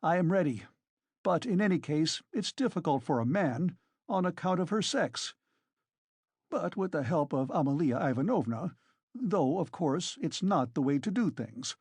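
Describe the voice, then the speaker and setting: A narrator voicing a pompous, self-important gentleman in an audiobook, formal, measured and insistent in his reproach. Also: mid-range voice, lively intonation, slightly rough, no echo, voice pushed up. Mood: flustered. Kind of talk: dramatised audiobook reading.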